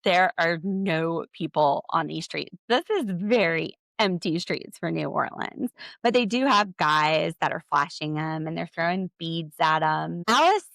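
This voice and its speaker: A woman's voice: lively intonation, measured pace, gravelly, hardly any pauses, fairly bright tone, no room sound. A young woman hosting a podcast, recapping in a chatty, sarcastic way. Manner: chatty and sarcastic, acting out quotes in a high voice. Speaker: podcast host. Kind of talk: casual recap chat.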